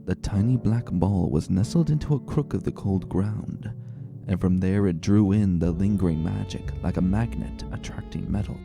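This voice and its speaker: A man reading a story as dramatic scripted narration, measured, deliberate and suspenseful. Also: sits low, vocal fry, warm tone. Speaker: narrator of a fan fiction reading. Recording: roomy voice, faint music bed. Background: faint music.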